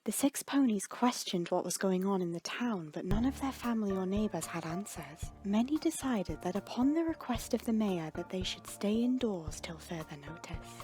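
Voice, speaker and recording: Female narrator for dramatic fan fiction reading, medium pitch, suspenseful delivery, faint music bed. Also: lively intonation, normal speed, hardly any pauses, a little mellow. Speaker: storyteller. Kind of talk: dramatic scripted story narration.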